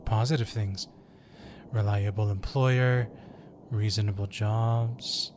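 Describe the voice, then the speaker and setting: A man playing a composed undercover agent posing as a job applicant in an audio roleplay, polite and measured. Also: on the low side, steady tone, darkish tone, a little hiss or hum.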